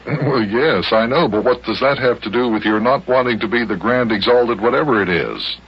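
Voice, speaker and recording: A man with an American accent playing a patient, dryly amused grown-up who gently scolds a boy in a children's radio serial. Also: mid-range voice, normal speed, breathy edge. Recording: hissy, dull old radio recording.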